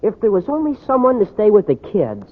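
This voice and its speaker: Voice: mid-range voice, wildly swooping pitch, a little fast. An actor playing an earnest, breezy fourteen-year-old schoolboy in a 1940s radio comedy. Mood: eager, breezy. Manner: guileless, breezy, gee-whiz. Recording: noisy, tinny old radio broadcast.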